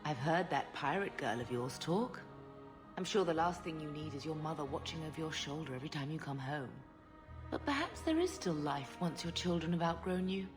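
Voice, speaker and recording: Game role: genteel mature mother, deep lively voice, wistful, music underneath. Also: a little fast. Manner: genteel, affectionate.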